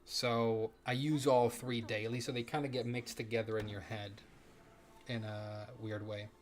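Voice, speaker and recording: A man's voice: lowish voice, little pitch movement, unhurried, slight background noise. A man streaming a video game, chatting casually, reading out viewer questions and swearing now and then as he plays. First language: Japanese. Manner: rambling, swears casually, reads chat questions aloud. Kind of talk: casual live-stream chat while playing.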